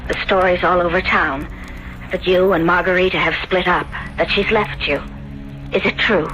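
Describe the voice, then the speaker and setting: An actress plays a shy, guilt-ridden young woman in a vintage radio mystery. Her voice is bright and earnest, with melodramatic feeling, over a music bed. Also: on the low side, steady tone, moderate pace, natural voice, low-quality recording. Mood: ashamed. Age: young adult. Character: meek, self-deprecating young Italian woman, guilty and shy. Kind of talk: 1970s radio drama acting.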